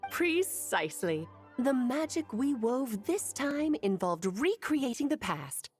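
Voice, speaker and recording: A woman voicing an ageless, cheerful and wise witch who speaks in a lilting, gently teasing way. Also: high-pitched, sing-song, slow, faint music behind, heavily acted. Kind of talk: video game voice acting.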